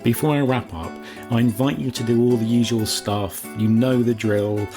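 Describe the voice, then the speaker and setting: A man narrating a scripted lesson as a writing coach, in a measured, explanatory way. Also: medium pitch, steady tone, over music.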